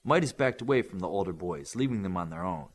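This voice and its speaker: Man narrating a novel, lively pitch. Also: fast, gravelly. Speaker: audiobook narrator. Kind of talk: scripted narration of a novel, acting out the dialogue.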